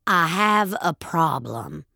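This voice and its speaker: A woman's voice: sits low, expressive, takes it slowly, vocal fry, sharp tone, dry recording, studio quality, heavily acted. A woman voicing an angry, desperate elderly bank customer in an audio drama. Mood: frustrated, indignant. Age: older. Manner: indignant, insistent. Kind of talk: audio fiction acting.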